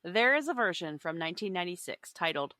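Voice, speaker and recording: A woman with a bright, forward voice co-hosts a casual book chat. She is articulate and wryly amused, and the sound is dry, with little room to it. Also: on the low side, animated, quick pace, dry recording. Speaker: podcast co-host.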